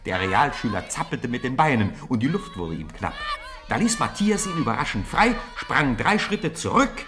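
A man narrating a 1950s radio play, telling a snowball fight with rising excitement. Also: mid-range voice, normal speed. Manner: lively storytelling, rising with the fight. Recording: old radio play with crowd noise behind.

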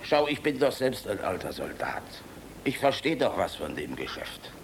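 An aging army general in a radio drama, gruff yet fatherly and weary of the world. His lowish voice swings widely as he blusters and philosophizes, turning from bark to tenderness. Faint noise sits behind him. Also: sing-song, moderate pace. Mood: earnest, fatherly. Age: older. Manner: blustery, impassioned, philosophizing, shifting between barking and tenderness. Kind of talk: German radio play acting.

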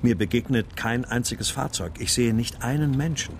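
An actor playing a brooding father who narrates in the first person, sober and measured. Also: mid-range voice, vocal fry, slight background noise, close to an everyday voice.

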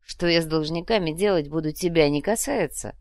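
A woman's voice: deep voice, fairly quick, a little mellow, no room sound, studio quality. Woman as a shrewd, wry male trader. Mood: dry needling. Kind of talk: audiobook character voice.

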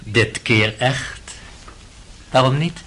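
Sly, servile manservant, faint noise. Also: male voice, medium pitch, takes it slowly, pushed and bright. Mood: sly, insinuating. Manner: obsequious, insinuating. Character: servile, sly, insinuating manservant with sinister cunning. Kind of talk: Dutch radio play acting.

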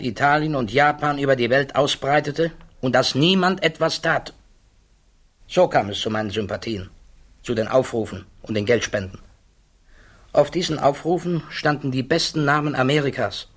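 A middle-aged scientist being questioned in a 1960s radio play. His voice is husky and medium in pitch. He answers with guarded, measured dignity. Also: a bit bright. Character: thoughtful, guarded male scientist under questioning. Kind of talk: 1960s German radio drama acting.

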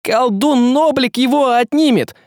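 A man voicing a frantic, outspoken young man in a storybook, shouting in blunt, slangy speech. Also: extremely high pitch, unhurried, dry recording, studio quality, pitched above the performer's own voice. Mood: alarmed, urgent.

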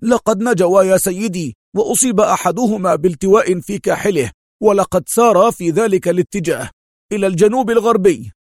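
A man voicing a confident expert tracker in an adventure story, reporting to his boss with calm assurance. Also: on the high side, normal speed, dry recording, studio quality, voice pushed up. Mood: certain.

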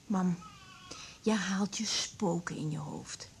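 A woman's voice: fairly low pitch, slow pace, creaky, slight background noise. An actress playing an anxious, guilt-ridden young woman doctor and mother in a naturalistic Dutch radio drama, speaking hesitantly and with emotion. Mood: defensive, uneasy. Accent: Dutch (Netherlands). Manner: hesitant, stammering, emotional delivery.

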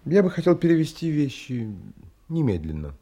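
Earnest, animated young gentleman, husky. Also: a little high, moderate pace. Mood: polite, formal. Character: earnest, determined young gentleman seeking sea passage. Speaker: actor narrating and playing the lead. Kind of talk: dramatised audiobook acting.

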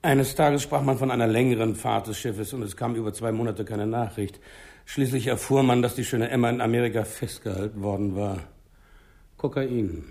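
An actor playing an affable, deferential small-town mayor in a 1960s German radio crime drama, talking in a conciliatory, slightly hesitant way. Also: male voice, on the low side, vocal fry, a little mellow.

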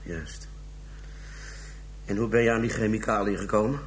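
An actor in a radio drama playing a composed male detective who questions in a measured, probing way. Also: on the low side, a little hiss or hum, lightly acted. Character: composed male police interrogator, probing and unhurried.

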